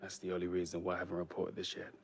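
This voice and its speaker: Low-voiced, principled team manager. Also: male voice, completely flat delivery, racing, slight background noise. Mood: firm accusing. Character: principled rival team manager, calm and confronting. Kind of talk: naturalistic screen acting.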